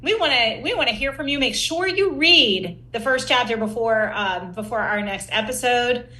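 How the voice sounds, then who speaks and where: Female podcast host, bright forward voice, emphatic and engaged interviewer, echoey room sound. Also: fairly high pitch, expressive, moderate pace.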